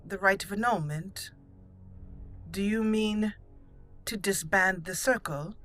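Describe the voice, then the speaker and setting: A woman improvising in character as she plays a fantasy game, speaking haltingly and thoughtfully in formal phrasing. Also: mid-range voice, fairly bright tone. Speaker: gaming channel host, roleplaying player. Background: game music.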